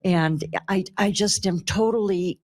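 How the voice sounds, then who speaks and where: An older woman stands up from the floor of a meeting chamber to ask about her taxes. She talks loudly and emphatically, sounding flustered. Her pitch sits in the middle. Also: unhurried. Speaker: resident asking a question. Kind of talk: question from the floor at a public meeting.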